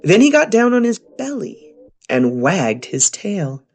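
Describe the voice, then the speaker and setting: A woman reading a story aloud for young children, with expressive delivery and explanatory asides like a teacher. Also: mid-range voice, a little slow.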